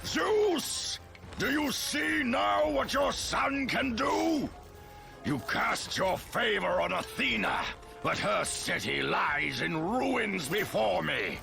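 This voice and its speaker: An actor voicing an arrogant, wrathful god of war in a video game, taunting and grandiose in a theatrical villain style. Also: male voice, high voice, big pitch swings, slow, gravelly, full character voice. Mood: furious gloating. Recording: loud music under the voice.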